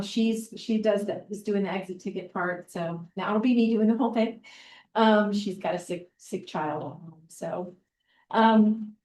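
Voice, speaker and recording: A woman with a big voice presenting a teaching workshop as a librarian and facilitator, talking in a relaxed, conversational way with many ums. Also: medium pitch. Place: meeting room or classroom. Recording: roomy echo, mic far off.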